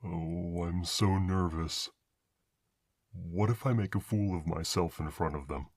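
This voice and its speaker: A man voices a big, bashful monster with a gentle heart. The voice is very low and recorded dry and close. He talks hesitantly and kindly, as in an ASMR roleplay. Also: measured pace, no room sound. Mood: nervous.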